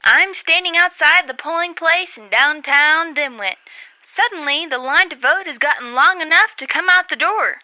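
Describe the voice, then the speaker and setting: Casting: actress as earnest female on-scene reporter, high and extremely bright, emphatic, dry close sound, radio comedy. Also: moderate pace, dry recording. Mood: surprised. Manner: emphatic on-the-scene news delivery. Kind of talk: mock newscast comedy acting.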